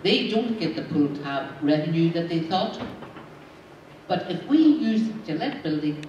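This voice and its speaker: A woman with very animated intonation makes a persuasive case at a public meeting. She is recorded from afar in a very echoey hall, with faint noise. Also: fairly low pitch, a little slow, recorded from a distance, lo-fi sound. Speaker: meeting participant advocating.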